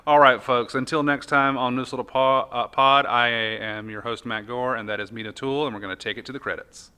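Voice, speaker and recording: A man hosts a podcast in an easy, conversational style. His voice sits at an average pitch and has a bright, forceful edge. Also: steady tone, brisk, smooth. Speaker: podcast host. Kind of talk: casual chat.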